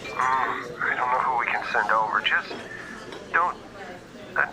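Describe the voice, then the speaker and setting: A man playing a flustered, evasive agent handler on a call, halting and rambling as he tries to reassure. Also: medium pitch, crowd noise, natural voice, poor audio. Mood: distracted, uneasy. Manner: halting, self-interrupting, rambling.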